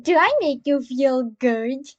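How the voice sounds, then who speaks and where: Woman acting smug, slow-talking young man, teasing. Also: high-pitched, expressive. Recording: dull lo-fi recording.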